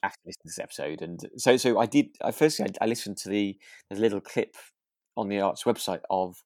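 A man's voice: fairly low pitch, fast, a little mellow, no room sound. A British man co-hosting a podcast, chatting casually in a hesitant, rambling way as he tells stories. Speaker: podcast co-host. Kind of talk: casual chat about a radio drama.

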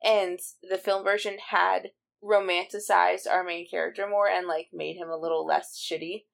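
Sleepy raspy-voiced woman co-host. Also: lowish voice, flat delivery, moderate pace. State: tired, raspy and sleepy late at night. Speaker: podcast co-host. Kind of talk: casual chat.

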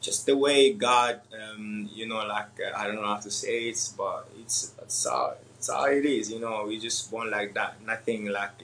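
A young man from Congo with French or Swahili as his first language, answering interview questions in a halting way with many pauses and laughs. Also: on the low side, fairly bright tone, a little hiss or hum, poor audio. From Democratic Republic of the Congo. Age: young adult. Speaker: MMA fighter guest.

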